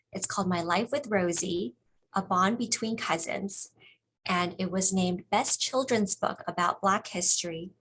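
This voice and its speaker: A woman with a mid-pitched voice hosts a children's event. She gives a friendly introduction to a guest, over a slightly noisy recording with clicks. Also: fairly bright tone. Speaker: librarian host introducing a guest. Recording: clearly noisy audio with clicks.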